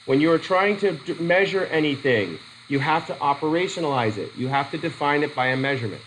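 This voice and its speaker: A middle-aged man with a cold teaching a psychology methods class, halting and restarting as he questions students in a whiteboard classroom. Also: on the high side, animated, fairly bright tone, a little hiss or hum, poor audio. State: has a cold. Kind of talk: classroom lecture.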